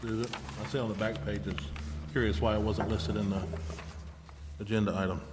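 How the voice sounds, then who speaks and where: A man's voice: lowish voice, level tone, brisk. A man, a council member, asking questions from a distance in an echoing, noisy meeting room. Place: indoor council chamber.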